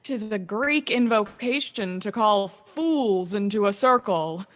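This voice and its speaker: A woman playing a melancholy, sardonic traveling gentleman in a Shakespearean radio play, with theatrical, teasing emphasis. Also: mid-range voice, lively intonation, takes it slowly. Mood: mocking, wry.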